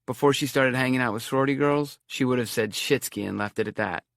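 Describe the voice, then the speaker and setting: A sardonic young college man who quips and scolds his friends, as an audiobook reader voices him. The delivery is louder and punchier than the narration, with a gravelly edge. The sound is dry and close. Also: medium pitch, fast talker, no echo. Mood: wisecracking.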